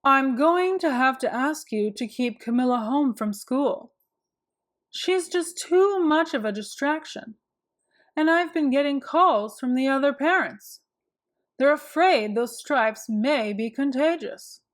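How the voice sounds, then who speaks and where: A woman's voice: high-pitched, expressive, slow pace. A woman voicing an apologetic, worried male school principal in a children's storybook, in a formal, hesitant manner. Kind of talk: children's storybook read-aloud.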